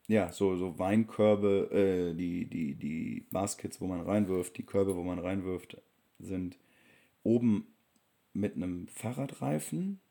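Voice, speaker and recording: Young male vlogger, deep creaky voice, loose rambling storyteller. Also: dark tone. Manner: rambling, many fillers and restarts. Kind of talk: casual storytelling.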